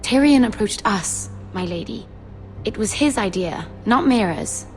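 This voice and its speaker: An actress playing an anxious, deferential young handmaiden in a video game, polite and pleading. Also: female voice, mid-range voice, animated, unhurried, rough recording. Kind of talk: video game drama acting. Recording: soft music behind.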